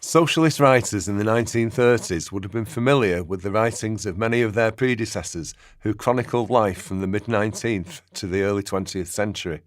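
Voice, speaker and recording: A man acting as a sober narrator, giving measured, expository documentary-style narration in a radio play. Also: lowish voice, no room sound, close to an everyday voice. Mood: sober, explanatory. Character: sober male historical narrator.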